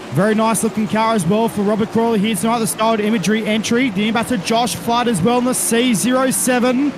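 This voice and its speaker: Casting note: Australian male race commentator, high voice, engine noise heard under the mic. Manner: calls the action with driver and car details, says mate and reckon. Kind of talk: sim racing commentary. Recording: noisy broadcast mix with engine noise.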